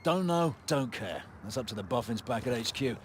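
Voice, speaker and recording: An actor playing a cocky, gruff mercenary squad leader with a British accent in a video game. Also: male voice, medium pitch, lively intonation, moderate pace, a little hiss or hum, lightly acted. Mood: brusque. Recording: music underneath.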